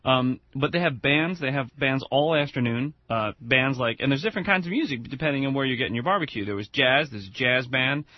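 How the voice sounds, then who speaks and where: Man, radio co-host, rapid casual chatter, rambling restarts, dry slightly dull recording. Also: mid-range voice, dry recording. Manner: rambling, enthusiastic, restarts often.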